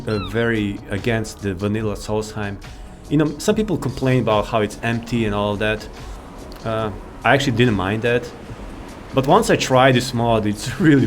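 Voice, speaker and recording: Casual man commentating over game music. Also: medium pitch. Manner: rambling, informal, enthusiastic. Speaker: video game mod showcase host.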